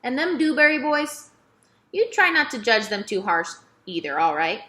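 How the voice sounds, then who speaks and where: Woman acting elderly Southern woman, storybook character, bright voice, animated, kindly, folksy, plainspoken, gently scolding. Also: a little high, moderate pace. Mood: wise, firm. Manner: folksy dialect, gently admonishing. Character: kindly old Southern woman, frank and wise. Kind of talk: children's novel read-aloud.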